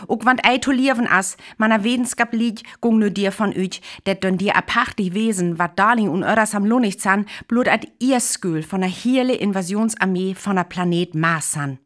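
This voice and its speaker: An actress playing a sober news announcer delivering grave bulletins in a radio drama. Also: female voice, medium pitch, normal speed, hardly any pauses, natural voice. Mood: grave, urgent. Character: woman news announcer soberly reporting a catastrophic invasion.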